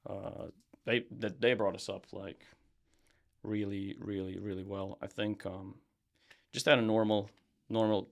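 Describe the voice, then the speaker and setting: A young man from Serbia, a podcast guest and brand founder, chatting casually in a relaxed, rambling way with lots of fillers and restarts. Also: on the low side. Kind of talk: casual interview chat.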